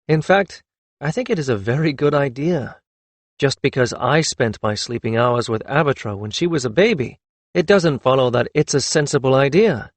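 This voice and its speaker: A man's voice: mid-range voice, animated, moderate pace, no echo. A man reading the lines of a weary young dragon-rider leader with a dry, firm manner, in a slightly raised version of his own voice.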